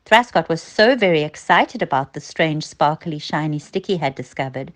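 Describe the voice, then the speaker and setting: A woman's voice: low voice, lively intonation, normal speed. A woman narrating a children's story as a storyteller, expressively and with dramatic emphasis.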